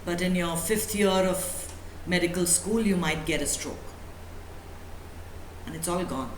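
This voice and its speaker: A woman's voice: fairly low pitch, unhurried. A woman speaking as a spiritual teacher, giving an emphatic motivational talk full of rhetorical questions and repetition. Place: indoor room at a live talk. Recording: echoey room with faint background noise.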